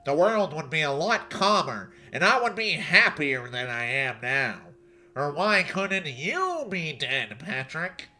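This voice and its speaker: A man voicing a bitter, spiteful male cartoon octopus neighbour, loud and scornful. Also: fairly high pitch, sing-song, takes it slowly, full character voice.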